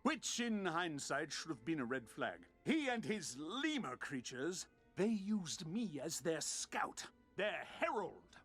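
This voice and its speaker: Bombastic video game explorer hero, high pitched for a man, super animated, theatrical, bit of music behind. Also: slow, exaggerated voice. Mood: bitter, wounded. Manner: theatrical, grandiose declamation. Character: bombastic, dramatic adventurer man, vain and vengeful. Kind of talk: video game character acting.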